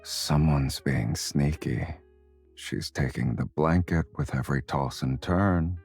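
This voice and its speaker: Very deep, husky teasing charmer. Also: male voice, darkish tone, heavily acted. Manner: unhurried, playful teasing. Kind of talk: romance game voice acting. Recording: music behind the voice.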